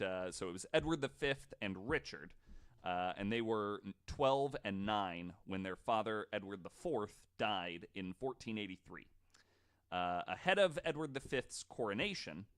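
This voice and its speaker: A man's voice: lowish voice, a bit bright, clean tone. A man hosting a podcast, telling stories casually from notes with informal, sweary asides. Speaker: podcast host.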